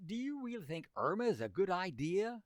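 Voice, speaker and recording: A man's voice: high-pitched, sing-song, brisk, no room sound, clean studio sound. An actor playing a grumbling, hesitant teenage boy in an old-time radio comedy. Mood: doubtful. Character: Homer, grumbling, wary teenage boy, sweet on his girl.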